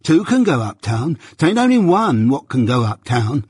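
Surly journeyman smith for an audiobook, male reader: gruff growl, rustic dialect, grudging, jealous, spoiling for a fight. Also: medium pitch, animated, measured pace, no room sound, clean studio sound, big-room sound. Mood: aggrieved.

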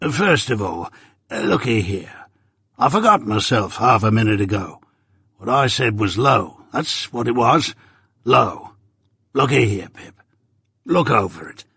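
A man reading an audiobook voices a rough old male ex-convict who dotes and blusters in a broad rustic dialect. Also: medium pitch, animated, a little slow, fairly bright tone, no room sound, clean studio sound, exaggerated voice. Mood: earnest. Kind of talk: audiobook character voice.

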